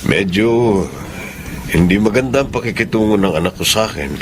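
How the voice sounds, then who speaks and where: A man's voice: on the low side, expressive, a little fast, close to an everyday voice. An actor with a Filipino accent playing a humble, earnest, emotional father who works as a driver in a radio drama. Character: humble grieving father working as a family driver. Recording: noisy radio drama recording.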